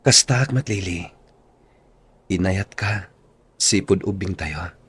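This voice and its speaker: An actor playing an earnest, tender young man in an Ilocano radio drama, speaking sincerely and deliberately with an Ilocano accent. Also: low-pitched, a bit bright, breathy edge, dry recording, full character voice. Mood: grateful, loving.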